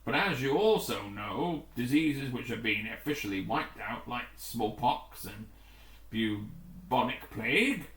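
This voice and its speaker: A man reading the part of a grim, authoritative rescue commander in a storybook, formal and deliberate. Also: medium pitch, expressive, fairly bright tone, recorded from a distance. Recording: roomy, echoing room.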